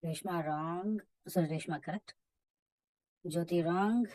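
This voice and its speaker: Indian female online English teacher, lowish voice, brisk and instructive, close dry recording. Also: a little mellow, no echo. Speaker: English teacher running a live online reading practice class. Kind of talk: live interactive lesson, calling out names and marking answers.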